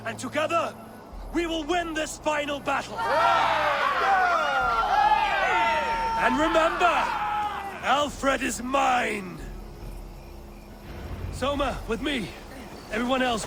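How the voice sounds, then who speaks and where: An actor playing a fierce, vengeful Norse war leader in a video game, commanding and solemn. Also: male voice, extremely high pitch, noisy background. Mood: rousing, fierce. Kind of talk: dramatic video game acting.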